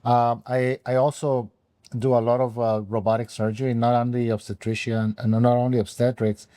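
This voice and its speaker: A man, an OB/GYN guest telling his career story in halting, unhurried speech full of ums. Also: mid-range voice, flat delivery, warm tone, no echo, clean studio sound. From Mexico. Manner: halting, with frequent ums and restarts. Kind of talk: conversational interview answers.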